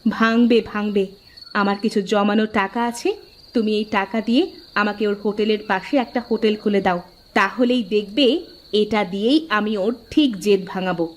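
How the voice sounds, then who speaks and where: A woman's voice: mid-range voice, fairly even intonation, normal speed, close to an everyday voice. A woman voicing a stubborn, proud wife who runs a hotel, speaking firmly in a cartoon. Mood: determined. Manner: firm, defiant; loud street-calling.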